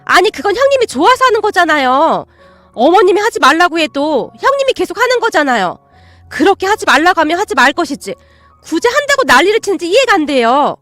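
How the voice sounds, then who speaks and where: A rude, whiny aunt, as a woman puts her on talks in a raised, shrill voice full of indignation. Her pitch swings high and wide as she complains and sneers. The sound is close and dry. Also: big pitch swings, fairly quick, pushed and bright, no room sound, faint music behind, full character voice. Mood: sneering.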